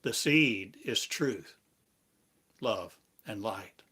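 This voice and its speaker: A man giving a free-form spiritual sermon, chanting in drawn-out, incantatory phrases for a live stream. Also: on the low side, animated, pushed and bright, husky. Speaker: preacher. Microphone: live stream device.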